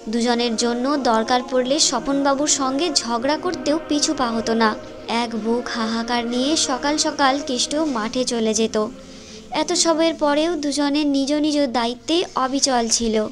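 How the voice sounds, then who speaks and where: A woman's voice: sits high, a little slow, music in the background. A woman narrator reading a scripted story in a storytelling style.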